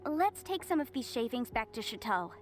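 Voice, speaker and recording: A woman's voice: fairly high pitch, very animated, moderate pace, soft background music. An actress playing a confident young female legal adviser in a video game, businesslike, articulate and courteous. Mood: brisk, instructive.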